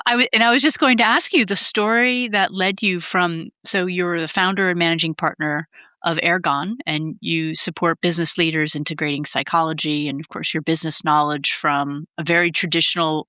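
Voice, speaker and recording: Woman, podcast host, smooth resonant voice, bright tone, attentive interviewer. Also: sits low, steady tone, normal speed, no echo, studio quality.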